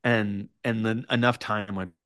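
A man telling an animated anecdote as a podcast guest, excitable and self-deprecating, with casual swearing. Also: lowish voice, unhurried, no room sound, clean studio sound. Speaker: podcast guest, writer and storyteller.

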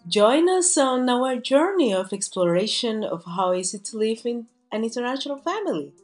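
A woman co-hosting a podcast who speaks English as a second language, often pausing with "uh" as she searches for words. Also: on the high side, very animated, soft background music. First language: not English. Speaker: podcast co-host. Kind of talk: casual interview hosting.

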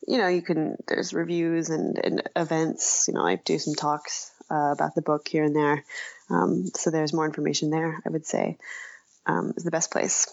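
A woman being interviewed in casual conversation, talking haltingly and self-deprecatingly, often trailing off, with a creaky voice. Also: sits low, dull audio. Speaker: interview guest, author.

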